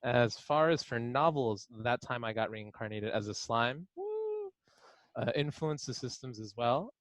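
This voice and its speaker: A man's voice: medium pitch, huge pitch swings, unhurried, no room sound. A man chatting casually and reading out answers in an interview, playful and enthusiastic. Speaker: editor reading author's answers. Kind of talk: casual panel chat with read-out answers.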